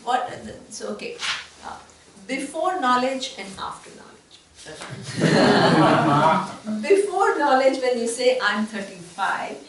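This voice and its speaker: A woman's voice: medium pitch, fairly bright tone, a little hiss or hum. Female teacher, explanatory, rhetorical; distant echoey room mic. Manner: explanatory, poses rhetorical questions. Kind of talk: classroom teaching with discussion. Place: retreat seminar room.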